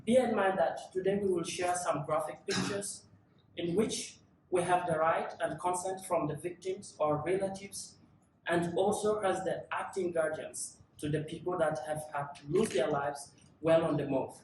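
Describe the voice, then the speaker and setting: A man in his twenties from South Sudan, giving an earnest, measured testimony as a panel speaker with deliberate pauses. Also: sits high, steady tone, slight background noise. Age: 20s. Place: large conference room. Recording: echoey, distant conference room recording.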